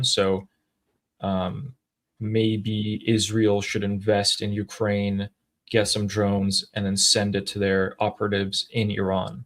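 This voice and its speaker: A man co-hosting a live stream, reading viewer messages aloud and thinking out loud in a casual, chatty way. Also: fairly low pitch. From United States. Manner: chatty, thinks aloud with fillers and throat clearing. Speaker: co-host reading viewer super chats.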